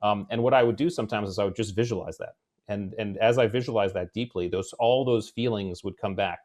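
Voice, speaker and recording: A man in his forties, a guest on a podcast, speaking calmly and reflectively, with frequent fillers. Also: on the low side, fairly quick. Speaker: guest, former special forces. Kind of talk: conversational interview.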